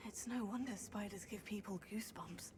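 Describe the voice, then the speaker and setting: An actress playing a young, wry student companion in a video game, speaking in hushed, uneasy asides. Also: female voice, medium pitch, normal speed, noisy background, lightly acted. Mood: wary.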